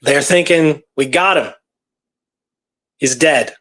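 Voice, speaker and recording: A man's voice: on the high side, takes it slowly, fairly bright tone. Man telling a story in casual talk. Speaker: podcast host telling a true historical story.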